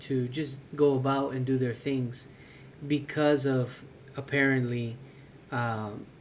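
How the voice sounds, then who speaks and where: A man's voice: mid-range voice, steady tone, takes it slowly, a little hiss or hum. A man from California co-hosting a podcast, chatting casually, searching for words with many fillers. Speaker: podcast co-host.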